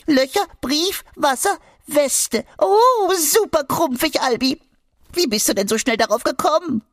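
A man voicing a small, anxious creature with long spoon ears in a children's audiobook, in a high squeaky voice. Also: lively intonation, unhurried, a bit bright, no room sound, studio quality, exaggerated voice. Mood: overjoyed. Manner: high squeaky, whiny then gleeful. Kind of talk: audiobook character voice.

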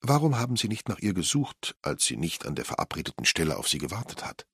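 A man's voice: low voice, wildly swooping pitch, fast talker, creaky, fairly bright tone, breathy edge, no echo, studio quality, full character voice. A man voicing a firm, probing woman investigator who questions witnesses urgently in an audiobook.

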